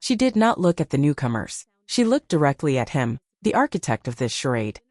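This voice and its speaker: A woman's voice: sits low, big pitch swings, brisk, darkish tone, no echo, clean studio sound. A woman reading a dramatic scripted story as an audiobook narrator.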